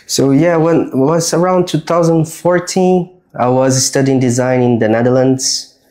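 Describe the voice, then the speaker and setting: A man in his thirties from Brazil with a Brazilian accent, talking about his design work in a relaxed, earnest way while getting a haircut. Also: medium pitch, a little slow. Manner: relaxed, earnest, restarts words while explaining. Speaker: guest, design strategist. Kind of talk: casual chat during a haircut. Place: barbershop.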